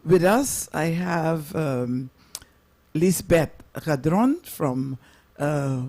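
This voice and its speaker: A man hosting a local radio program, introducing and interviewing guests in halting, wordy, rather formal phrasing. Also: a little high, warm tone.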